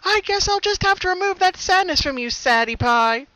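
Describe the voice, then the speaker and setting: A man's voice: extremely high pitch, lively intonation, moderate pace, bright, forceful tone, dry recording, pitched above the performer's own voice, exaggerated voice. A man voicing a bubbly, impatient and menacing female pony double in a story reading, with a bouncy, huffy delivery.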